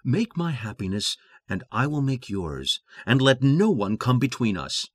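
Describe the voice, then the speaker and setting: An actor playing a brooding, passionate gentleman in love, with theatrical, intense delivery in a dramatized classic novel. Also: medium pitch, big pitch swings, a little slow, no echo, studio quality. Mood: fervent.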